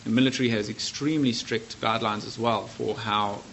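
A man's voice: lowish voice, steady tone, a little fast, a bit bright, slight background noise. A man from South Africa with a South African accent, preaching a sermon in an earnest, conversational way. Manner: earnest, conversational, self-correcting.

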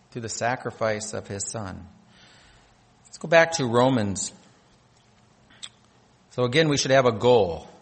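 A man from North Dakota delivers a sermon in a church hall, with a long echo trailing his words. He reads scripture aloud and swells in emphasis on key phrases. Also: mid-range voice. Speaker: pastor.

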